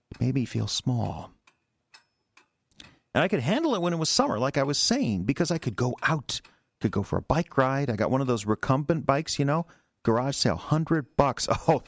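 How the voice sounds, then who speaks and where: An actor in an audio drama playing a resentful, defensive husband who stammers and rambles in a halting, wounded way. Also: male voice, medium pitch, big pitch swings, vocal fry, no echo. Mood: brooding, resentful. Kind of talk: naturalistic audio drama acting.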